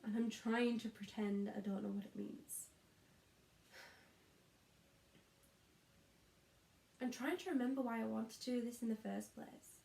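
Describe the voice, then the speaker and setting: A woman's voice: fairly high pitch, steady tone, a little fast, creaky, warm tone. A woman playing a weary, disillusioned young schoolteacher in an introspective, confiding stage monologue. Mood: weary, overwhelmed. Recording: echoey room.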